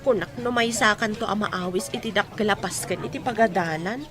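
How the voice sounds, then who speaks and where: An actress playing a chatty, coaxing friend in an audio drama. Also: female voice, medium pitch, fairly quick, natural voice. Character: chatty, coaxing woman friend spreading reunion news. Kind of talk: Ilocano audio drama acting.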